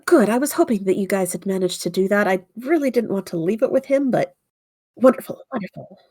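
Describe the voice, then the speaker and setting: A woman's voice: mid-range voice, animated, a little fast, warm tone, slightly rough, no echo, clean studio sound. A woman casually describing her character's actions as a player in a tabletop game.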